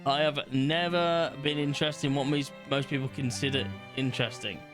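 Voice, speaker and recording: A man's voice: medium pitch, steady tone, moderate pace, music in the background. A young man, casually chatting to his stream audience as he reads out questions, blunt and swearing now and then. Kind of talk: casual livestream chat, reading test questions aloud.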